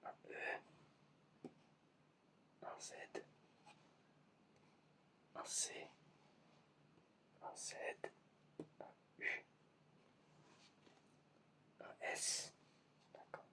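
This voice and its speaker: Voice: extremely slow, long pauses, far from the mic. An ASMR creator whispering through a playful eye-test roleplay, asking patient, mock-puzzled questions. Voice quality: whispered, unvoiced.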